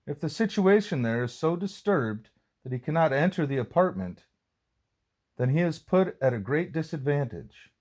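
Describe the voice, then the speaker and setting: A man reading a formal religious text aloud as audiobook narration, with deliberate, measured phrasing. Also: fairly high pitch, sing-song, a little mellow. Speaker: reader of a spiritual text.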